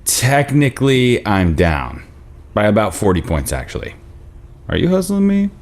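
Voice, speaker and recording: A man's voice: lowish voice, huge pitch swings, normal speed. A man acting in a table read as an awkward, friendly dentist on a date, reading conversationally. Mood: awkward, amiable.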